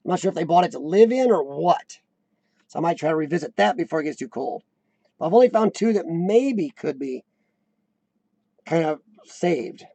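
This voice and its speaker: A man in his forties hosting a casual live chat, with a high-pitched squeaky voice worn by coughing, who often clears his throat and restarts his sentences. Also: lively intonation, normal speed, pauses often, warm tone, no echo. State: voice worn from lung illness and coughing. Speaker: host of a live chat show. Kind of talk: casual live-stream chat reading viewer comments.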